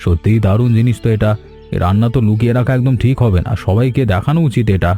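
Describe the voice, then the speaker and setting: A man's voice: fairly low pitch, fast, soft background music, natural voice. A man voicing a kindly old village elder. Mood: approving. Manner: gentle, fatherly, calls others "ma". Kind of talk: animated cartoon dialogue.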